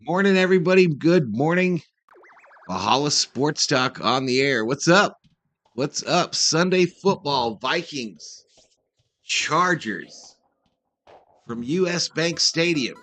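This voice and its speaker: A man's voice: a little high, animated, slow, a bit bright. A man hosting a sports livestream, giving informal, chatty pregame commentary and talking directly to his viewers. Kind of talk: casual live pregame commentary.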